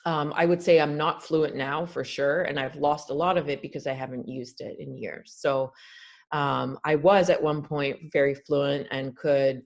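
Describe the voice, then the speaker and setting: A woman's voice: low voice, steady tone, unhurried. Earnest woman doctor explaining on a video call. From Canada. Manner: earnest, explanatory, with frequent fillers. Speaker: guest doctor. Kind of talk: webinar interview answers.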